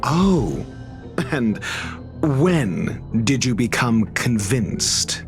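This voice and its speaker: A man voicing an aloof, haughty scholar-doctor who scorns fools, speaking eloquently with dramatic pauses in a video game cutscene. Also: mid-range voice, big pitch swings, music in the background, full character voice. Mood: polite, probing.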